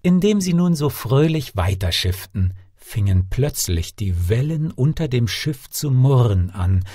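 A man narrating a fairy tale as a scripted audiobook, theatrical and expressive. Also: medium pitch, a little slow, breathy edge, dry recording, clean studio sound.

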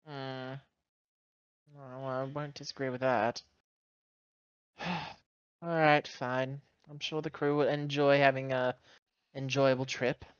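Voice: medium pitch, level tone, slow, long pauses, smooth, no room sound, muffled recording, lightly acted. A player voicing a wry, argumentative woman who is acting captain of a spaceship in a tabletop game, informal and sarcastic.

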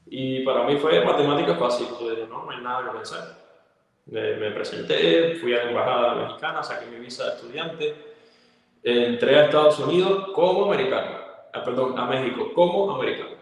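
A man's voice: medium pitch, fairly quick, gravelly. A young adult man with a Cuban accent, telling his story as a guest on a video call. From Cuba. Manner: fluent storyteller, frequent fillers. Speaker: guest, actor and model. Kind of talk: casual interview answers. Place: indoor room, plain wall. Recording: echoey room, long reverb.

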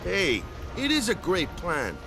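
An actor playing an easygoing young guardian in a video game who talks in a breezy, upbeat way. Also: male voice, sits high, huge pitch swings, takes it slowly, gravelly, over music, full character voice. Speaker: game character.

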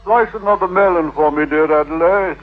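An actor playing a bilious, gluttonous, trusting husband, groaning and hesitant, in a 1950s radio drama. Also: male voice, fairly high pitch, natural voice. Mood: contented. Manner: slow, groaning, hesitant, good-natured. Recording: tinny vintage radio recording with music.

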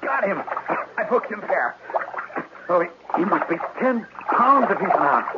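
An actor playing a prim, naive, upright brother in a 1970s radio drama, with fussy, old-fashioned diction. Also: male voice, high voice, big pitch swings, normal speed. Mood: delighted. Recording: muffled, noisy vintage radio broadcast.

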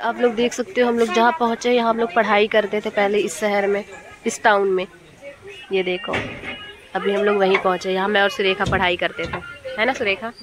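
A woman's voice: mid-range voice, little pitch movement, fairly quick, noisy background. A young woman chatting casually to viewers on a phone held at arm's length, traveling by train. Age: young adult. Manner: chatty, addresses viewers often. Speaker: vlogger. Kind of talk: casual travel vlog to camera. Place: crowded train platform and train carriage, partly outdoors.

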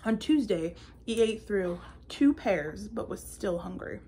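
A woman's voice: mid-range voice, expressive, a little slow, dark tone, a little hiss or hum. A woman in her twenties reading a children's picture book aloud in a playful, theatrical manner. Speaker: children's story-time reader. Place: indoor room with bookshelves.

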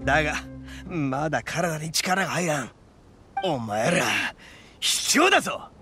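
Brash, wildly animated young oni boss. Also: male voice, a little high, a little slow, over music. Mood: cocky, indignant. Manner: cocky, rough street talk, blustering. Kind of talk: anime game voice acting.

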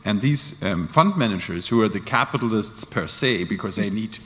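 A man in his forties speaking as a panelist in a large conference hall, pausing often with fillers, into a handheld microphone. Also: lowish voice, expressive, a bit bright. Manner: hesitant, many fillers. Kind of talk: panel discussion. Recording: long hall reverb.

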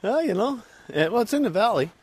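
A man's voice: high-pitched, very animated, a little fast, breathy edge. A man with a Canadian accent, a hiking companion chatting easily outdoors in snowy woods, heard from a little way off the camera. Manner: easygoing, agreeable replies. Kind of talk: casual chat on a hike. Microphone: distant from the camera. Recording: faint wind, voice from off the mic.

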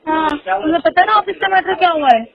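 Dull phone recording of a high-voiced hesitant woman. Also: fairly quick. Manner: hesitant, repetitive. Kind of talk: private phone conversation.

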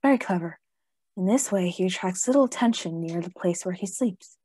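Woman playing husky, grave vampire-hunting professor. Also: lowish voice, expressive, dark tone, dry recording. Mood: grave, assured. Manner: solemn, deliberate, emphatic. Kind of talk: stage-style radio play acting.

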